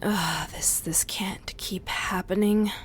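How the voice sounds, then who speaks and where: A woman voicing a battered, weary male fantasy adventurer in a halting, breathy murmur. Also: medium pitch, fairly even intonation, whispered.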